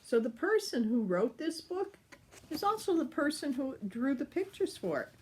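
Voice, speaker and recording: An older woman reading a picture book aloud for children in an expressive, unhurried way. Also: high voice, rough recording. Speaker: storyteller.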